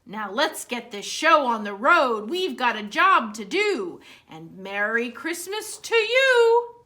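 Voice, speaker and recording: A woman's voice: on the high side, sing-song, takes it slowly, pushed and bright, full character voice. A woman voicing a jolly old Santa from a children's storybook, exuberantly calling out to his reindeer. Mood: jolly, exultant. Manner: exuberant, exclaiming, calling out names one by one. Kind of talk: children's storybook read-aloud.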